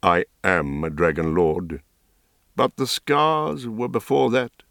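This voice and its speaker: Calm, gentle wizard, read by a man, deep-ish and unhurried. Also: gravelly, dry recording, clean studio sound, heavily acted. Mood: grave. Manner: quiet, gentle, grave. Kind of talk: audiobook character reading.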